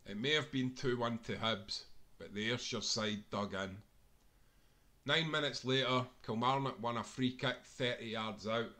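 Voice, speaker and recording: A man narrates a football book in a bright, forceful voice of average pitch. He reads from a script like a storyteller, leaning on key phrases with dramatic emphasis. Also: a little slow. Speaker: author-reader of a football book.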